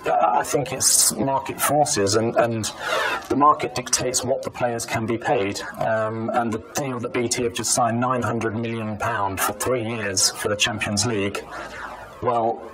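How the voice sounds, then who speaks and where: A British man answering interview questions in a measured, halting way, repeating words, his voice pitch-altered to disguise it. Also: steady tone, crowd noise, low-quality recording. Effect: pitch-shifted disguised voice. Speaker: anonymous professional footballer being interviewed.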